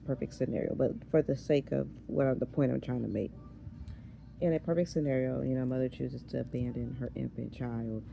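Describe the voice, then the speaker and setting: Woman in her 30s vlogging, hoarse and raspy, kinda dark low-key voice, rambles and loses her thread, faint hiss behind. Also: low-quality recording. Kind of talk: casual chat.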